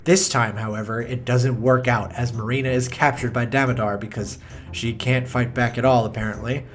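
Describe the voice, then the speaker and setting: A man's voice: medium pitch, fairly even intonation, moderate pace, noisy background. A young man narrating a sarcastic film review, full of mocking asides and swearing. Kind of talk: sarcastic movie review commentary.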